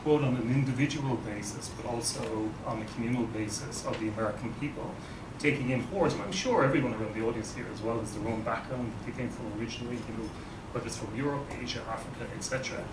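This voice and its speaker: An Irish man talks to an audience in a relaxed, anecdotal way with a touch of wry humor. The microphone sits some distance from him, so his voice carries the echo of a large room over a steady background hum. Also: medium pitch, fast. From Ireland. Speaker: guest speaker, nonprofit CEO. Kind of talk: informal presentation to an audience. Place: event room. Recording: roomy, distant mic, background noise.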